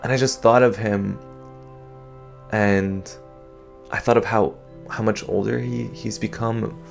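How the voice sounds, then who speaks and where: A man's voice: lowish voice, steady tone, slow, gravelly, soft background music. A young man from Canada speaking in a thoughtful, hesitant way, with many fillers and restarts, as he reflects on personal experience. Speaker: podcast host.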